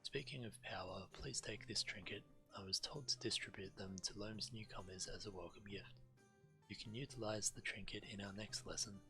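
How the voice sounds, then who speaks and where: A man's voice: lowish voice, fairly even intonation, moderate pace, creaky, sharp tone. A man giving understated, matter-of-fact commentary on a video game and reading quest text aloud. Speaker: game streamer. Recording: game music faintly behind. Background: video game music.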